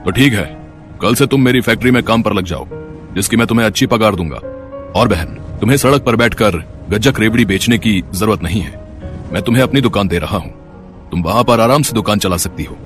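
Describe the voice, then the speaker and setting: A man's voice: lowish voice, brisk, soft background music, natural voice. A man voicing a benevolent, authoritative wealthy merchant in a moral story. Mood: firm, kindly.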